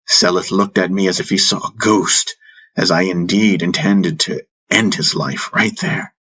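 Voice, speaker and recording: A man's voice: deep voice, steady tone, unhurried, pushed and bright, breathy edge. A man narrating a fantasy audiobook in the first person, with intense, solemn, dramatic storytelling. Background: music bed.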